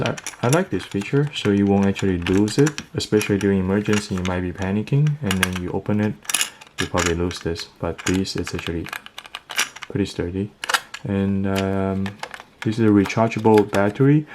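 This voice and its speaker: A man giving a casual unboxing and product review, talking in a halting, think-aloud way. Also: low-pitched, background noise.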